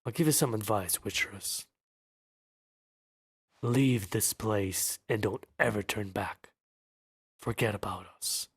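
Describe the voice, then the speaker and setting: A man's voice: lowish voice, takes it slowly, pauses often, husky, exaggerated voice. A man voicing a grim, secretive town alderman from a fantasy game, with a foreboding delivery. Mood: grave, menacing. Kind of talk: amateur voice-over of game dialogue.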